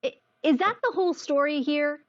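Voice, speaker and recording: A woman's voice: sits high, moderate pace, dry recording, dull audio. A woman news anchor pressing a guest with questions in a live interview.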